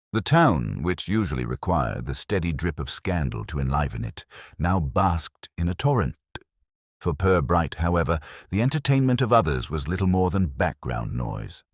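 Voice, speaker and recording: A man narrating a scripted literary mystery in a measured, formal storytelling manner. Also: low-pitched, breathy edge, dry recording, studio quality. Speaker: audiobook narrator.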